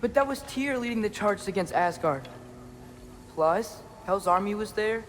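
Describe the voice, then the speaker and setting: A man's voice: high-pitched, lively intonation, measured pace, a bit bright, a little hiss or hum. A young man playing an earnest, eager teenage boy in a dramatic video game cutscene, searching and hopeful. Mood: excited, urgent. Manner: earnest, searching, hesitant when unsure.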